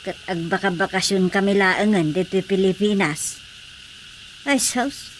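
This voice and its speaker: A woman acting in a radio drama, speaking in an unhurried, sighing way. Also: medium pitch, slight background noise.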